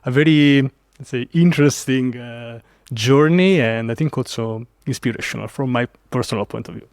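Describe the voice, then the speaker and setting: A man from Italy with an Italian accent, telling a story as a podcast guest in an animated way with many fillers. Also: mid-range voice, normal speed, dry recording, studio quality. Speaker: guest developer advocate. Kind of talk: casual podcast interview.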